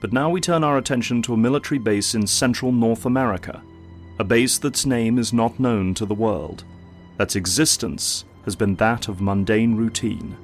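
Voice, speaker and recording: A man's voice: mid-range voice, moderate pace, faint music behind. A man narrating an audio drama in a solemn, theatrical, scripted style.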